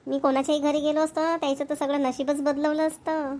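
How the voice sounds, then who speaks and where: A woman voicing a proud, sharp-tongued rural wife in a cartoon, bickering and boastful. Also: high-pitched, flat delivery, fairly quick, close to an everyday voice. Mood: teasing. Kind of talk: cartoon voice acting.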